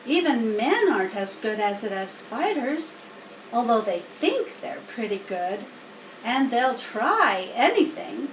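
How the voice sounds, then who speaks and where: Storybook spider, acted by a woman: female, wise, plain-spoken, instructive, high voice, roomy distant recording. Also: very animated, slow pace. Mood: wry, opinionated. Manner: matter-of-fact, instructive. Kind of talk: children's storybook character reading. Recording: roomy, distant mic, faint noise.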